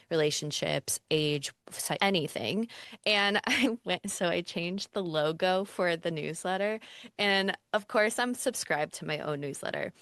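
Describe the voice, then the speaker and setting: Smooth, low-pitched woman hosting a podcast. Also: fairly bright tone, dry recording, studio quality. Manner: bubbly, laughs often, says "like" a lot. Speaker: podcast host. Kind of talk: casual podcast chat.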